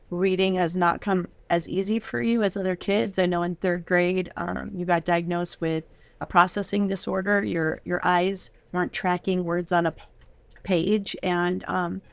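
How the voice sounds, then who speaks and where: A woman's voice: on the low side, no room sound. A middle-aged woman hosting a casual, heartfelt studio interview in an encouraging, affirming way. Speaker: show host and mother of the guests.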